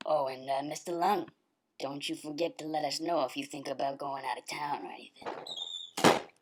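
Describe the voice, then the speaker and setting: A woman playing a bullying, sneering male police detective with a gravelly voice in a hardboiled noir audio drama. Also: low voice, steady tone, moderate pace, slight background noise. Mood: dry, sarcastic. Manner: menacing, clipped interrogation with sarcastic jabs.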